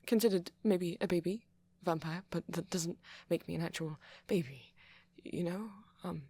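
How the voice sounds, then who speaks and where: A woman voicing a shy, anxious, apologetic young-looking male vampire in an ASMR roleplay, speaking softly and hesitantly with nervous stammers and half-whispers. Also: lowish voice, normal speed, no room sound. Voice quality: soft, breathy, often half-whispered. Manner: hesitant, stammering, self-conscious. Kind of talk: ASMR roleplay monologue to a listener.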